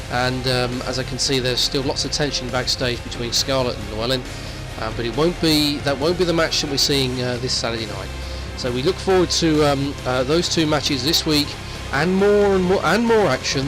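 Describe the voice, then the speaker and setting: Male wrestling newsreel host, mid-pitched, informal and unscripted, hesitant and rambling delivery with repeats, clear music underneath. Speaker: wrestling news host and commentator. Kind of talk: informal unscripted news roundup. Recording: music playing behind the voice.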